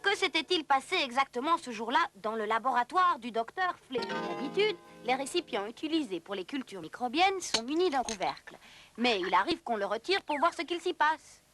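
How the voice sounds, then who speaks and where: A woman's voice: high voice, sing-song, normal speed. A woman reading scripted narration for an educational cartoon. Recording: music behind the voice.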